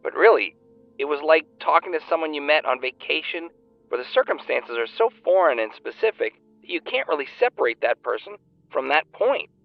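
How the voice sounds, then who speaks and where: An actor playing an earnest, talkative fan-fiction writer, heard over a phone call in an audio drama. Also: male voice, a little high, animated, a little fast, vocal fry, no room sound, soft background music. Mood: reflective. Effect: phone call filter. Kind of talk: naturalistic audio drama acting. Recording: thin, band-limited phone line.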